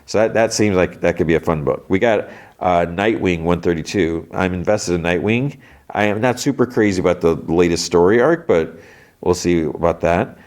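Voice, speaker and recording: A man's voice: low-pitched, normal speed, a little mellow. A stuffed-up man hosting a podcast, rambling in casual chat about comics, with many restarts and trailing thoughts. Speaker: podcast host.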